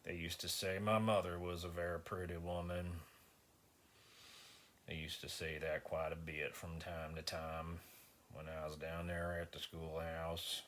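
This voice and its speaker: A man performing a dramatic monologue as a slow-witted, stoic rural man with a Southern US accent, halting and deadpan, grunting hums between phrases. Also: sits low. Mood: flat, halting. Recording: faint background noise.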